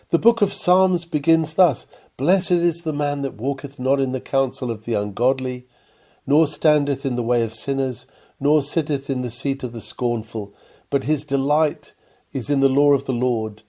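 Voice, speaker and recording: A man reading an old sermon aloud from a script, with earnest, measured and formal oratory. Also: mid-range voice, warm tone. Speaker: reader of a historical sermon.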